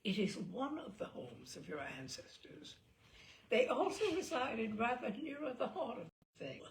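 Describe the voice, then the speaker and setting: A woman's voice: fairly high pitch, sing-song, unhurried, slight background noise. A woman voicing a mischievous English lord of about sixty, grand and leisurely, relishing his words, in a storybook reading. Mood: jaunty, nostalgic. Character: jaunty, mischievous sixty-ish English lord, an incorrigible uncle.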